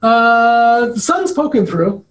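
A man's voice: very high-pitched, expressive, slow, a bit bright. A man co-hosting a podcast in casual chat, a little stuffy and nasal from allergies, rambling and chatty. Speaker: podcast co-host.

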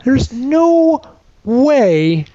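A man's voice: extremely high pitch, sing-song, very slow, warm tone, dry recording. A man from the United States co-hosting a podcast, chatting casually with a wry, sarcastic manner. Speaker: podcast co-host. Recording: dull, lo-fi podcast audio.

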